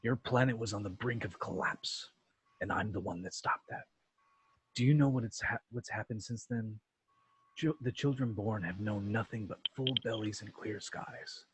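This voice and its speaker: Man acting Thanos, husky low-voiced calm tyrant. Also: normal speed, poor audio. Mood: insistent, cold. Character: Thanos, calm, menacing male alien warlord and adoptive father. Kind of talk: amateur table read of a film script.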